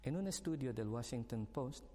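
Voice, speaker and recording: A man's voice: medium pitch, animated, mellow. Filipino man explaining in a reverberant hall. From Philippines. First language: Filipino language. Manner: measured, explanatory, cites studies. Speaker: panel guest. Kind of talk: discussion panel talk.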